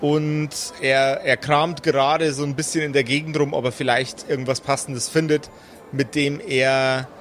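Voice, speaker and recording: A man narrating an improvised tabletop game as game master, hesitant and pausing mid-sentence. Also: mid-range voice, little pitch movement, a bit bright, a little hiss or hum.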